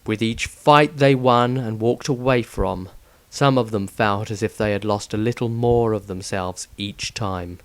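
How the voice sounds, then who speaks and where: A man reading a fantasy story aloud as a solemn, measured narrator in a dramatic scripted style. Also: on the low side. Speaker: author reading own fiction aloud.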